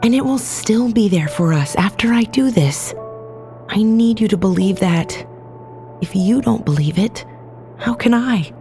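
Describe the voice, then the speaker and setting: An actress playing a determined, battle-worn resistance fighter and wife in an audio drama, plain-spoken and earnest. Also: female voice, medium pitch, expressive, unhurried, dark tone, soft background music. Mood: tender, earnest.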